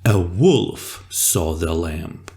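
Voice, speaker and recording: A storyteller narrating a children's fable in an expressive, deliberate and dramatic way. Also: low voice.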